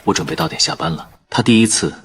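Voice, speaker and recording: A man narrating in the first person as a calm surgeon, in measured scripted narration. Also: lowish voice, a little hiss or hum, lightly acted. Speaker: narrator and lead character, a surgeon.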